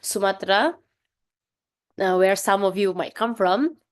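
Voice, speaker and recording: Indonesian-accented young woman presenting online. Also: medium pitch. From Indonesia, Sulawesi (Toraja). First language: Toraja. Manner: earnest, explanatory, many "um" and "uh" pauses. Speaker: workshop presenter. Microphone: video call.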